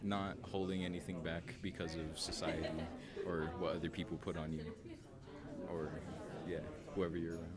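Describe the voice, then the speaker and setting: A man giving casual interview answers in a halting way, correcting himself as he goes. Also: low voice, little pitch movement, over music.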